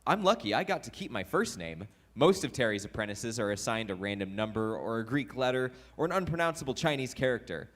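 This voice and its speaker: A man's voice: medium pitch, very animated, fairly quick, few pauses, fairly bright tone. A man acts a meek, literal-minded male sidekick to a ghost hunter. His mid-range voice bounces up and down with earnest eagerness, as in a live comedy audio play. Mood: aggrieved, earnest. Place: recreation center hall, live stage performance.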